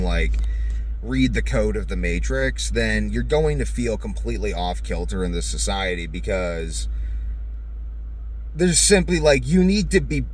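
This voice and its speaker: Low-voiced man rambling over background noise. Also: rough recording. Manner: blunt, profane, animated self-quoting. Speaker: vlogger. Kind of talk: casual rambling monologue.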